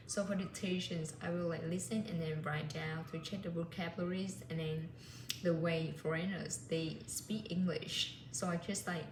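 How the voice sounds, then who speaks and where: A woman chats casually, her speech full of fillers and loose restarts. Her voice sits a little low. The recording sounds echoey, with steady noise in the background. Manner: rambling, many fillers and "like". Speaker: language learner vlogger. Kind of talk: casual vlog chat.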